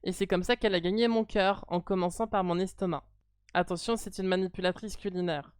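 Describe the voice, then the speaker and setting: A French woman streamer chats casually with her partner, blunt and playfully teasing. Her voice is smooth and clear, in a dry close sound. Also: on the low side, steady tone, fairly quick, no echo. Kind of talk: casual live stream chat.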